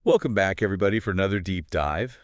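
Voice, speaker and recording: A man's voice: low-pitched, expressive, fast, dry recording, clean studio sound. A man co-hosting a podcast, chatting casually and informally about books in a conversational, agreeing way. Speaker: podcast co-host. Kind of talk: casual book discussion chat.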